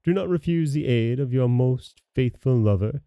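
A man's voice: mid-range voice, expressive, normal speed, clean tone, no room sound, highs cut off, voice pushed up, close to an everyday voice. A man voicing an earnest, devoted, lovelorn king in a storybook tale.

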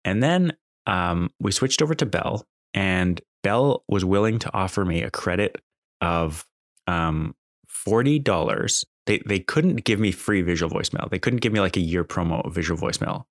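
Low-voiced man, casual monologue. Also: expressive, moderate pace, no echo, clean studio sound. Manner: conversational, exasperated complaining. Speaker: podcast host.

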